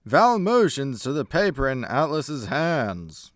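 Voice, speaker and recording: A man's voice: mid-range voice, very animated, slow pace, no echo, studio quality. A man delivering scripted narration as the narrator of a comedy radio play.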